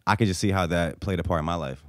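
Fast-talking low-voiced young American man. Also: a bit bright, dry recording, clean studio sound. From born in Bosnia, grew up in the US from age four. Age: young adult. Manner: informal, frequent slangy tags. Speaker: podcast host. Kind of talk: casual chat. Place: small podcast room with couches. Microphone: close podcast mic.

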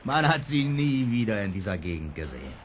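A wildly expressive, dramatic boatman. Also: on the low side, a little fast. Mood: ominous, suspenseful. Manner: dramatic, suspenseful delivery. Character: male boatman guide, teasing spooky storyteller. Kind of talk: German children's audio drama acting. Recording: noisy vintage radio play with ambience.

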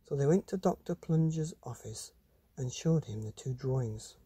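Husky, mellow male storyteller. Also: medium pitch, lively intonation, moderate pace, dry recording. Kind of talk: expressive children's bedtime story reading.

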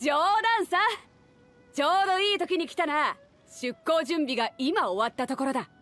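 A woman's voice: high voice, expressive, moderate pace, fairly bright tone, slight background noise. A woman voicing a bold, teasing pirate fleet captain in an anime-style game, commanding and casual. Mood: rousing.

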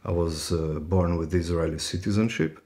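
A middle-aged man from Brazil, a university lecturer, introducing himself to a class in a deliberate, halting way with pauses. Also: low-pitched, fairly even intonation, a little mellow. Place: furnished room indoors, sitting.

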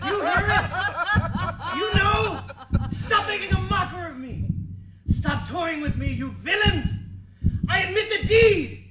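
A man's voice: extremely high pitch, animated, measured pace, long pauses, loud music behind the voice. An actor playing a nervous, guilt-ridden murderer hiding his crime, defensive and theatrical in a radio drama. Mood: frantic, confessing. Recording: roomy echo with faint noise.

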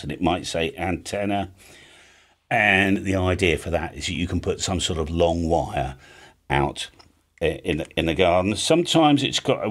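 A middle-aged man with a low, deep voice presents an informal tutorial. He explains things step by step in a conversational manner, often adding little tag questions to check the listener follows. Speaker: amateur radio video host. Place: small home studio with drums and guitars.

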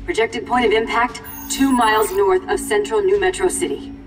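An actress playing a brisk, military-minded superhero commander in a video game, formal and crisp in delivery. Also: female voice, medium pitch, fairly even intonation, lightly acted. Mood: urgent, official. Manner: formal, radio-procedure crisp. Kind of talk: video game cutscene acting. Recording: distant, long reverb, faint music.

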